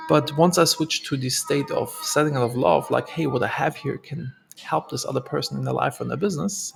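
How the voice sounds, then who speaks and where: A man's voice: mid-range voice, creaky, breathy edge. A man with a German accent, co-hosting a podcast and telling his personal story in an earnest, reflective way. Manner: earnest, reflective, with fillers and repeats. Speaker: podcast co-host. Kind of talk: casual storytelling.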